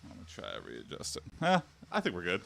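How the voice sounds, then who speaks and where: Bright-voiced man chatting on a home stream. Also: sits low, huge pitch swings, fast, gravelly, slight background noise. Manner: chatty, rambling, answers viewers. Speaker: livestream host. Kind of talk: casual livestream chat while exercising. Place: home room with an exercise bike. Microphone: standing mic a little way off.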